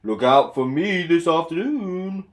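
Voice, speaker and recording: A man voicing a big, proud, grumbling steam engine for a children's storybook, with pompous, drawn-out speech. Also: animated. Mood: boastful. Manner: pompous, booming, drawn-out delivery.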